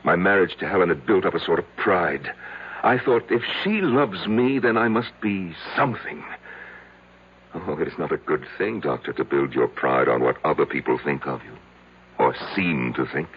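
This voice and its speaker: An actor in a radio drama playing a lonely, self-loathing middle-aged man who confesses haltingly to his psychiatrist. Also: deep voice, lively intonation, slight background noise. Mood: anguished. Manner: halting, hesitant, repeating himself. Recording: tinny, band-limited old radio broadcast.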